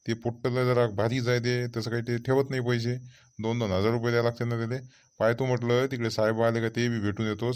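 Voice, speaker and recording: A man's voice: mid-range voice, a little mellow, close to an everyday voice. A man playing a harried, fretful village farmer in a comic cartoon, muttering complaints in a Vidarbha Marathi accent. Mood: fretful, busy.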